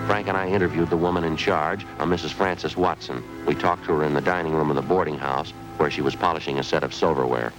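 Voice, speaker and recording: An actor playing a police detective who narrates in a clipped, matter-of-fact way in a 1950s radio drama. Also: male voice, rumbling low, low-quality recording. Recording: old radio broadcast with music bed.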